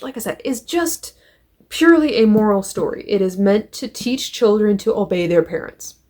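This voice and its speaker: A woman's voice: a little high, warm tone. A woman hosting a review, retelling and critiquing a story in an emphatic, conversational way with rhetorical questions. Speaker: comic book reviewer and host.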